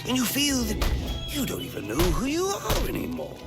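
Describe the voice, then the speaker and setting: Manic, wildly swinging clown supervillain. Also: male voice, extremely high pitch, huge pitch swings, normal speed, gravelly, loud music behind the voice, full character voice. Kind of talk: dramatic video game voice acting.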